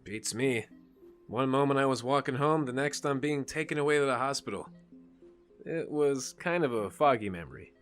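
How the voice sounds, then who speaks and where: A man's voice: medium pitch, moderate pace, faint music behind, lightly acted. A man playing a bitter, stubborn, sarcastic injured teenage boy in an audio drama, sullen and grumbling. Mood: wry, guarded.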